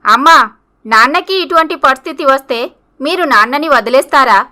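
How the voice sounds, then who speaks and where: A woman's voice: sits high, expressive, moderate pace, pushed and bright. A woman voicing a loyal, defiant young wife and daughter in a dramatic, earnest story style. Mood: hurt, defiant.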